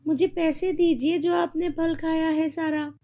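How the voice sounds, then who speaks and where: A woman's voice: very high voice, fairly even intonation, a little slow, warm tone. A woman voicing a poor young fruit-seller girl in a cartoon fairy tale, pleading and protesting plaintively.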